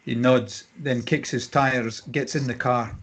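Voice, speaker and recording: A man's voice: mid-range voice, fairly even intonation, a little slow, fairly bright tone. A man reading stage directions for a screenplay as scripted narration.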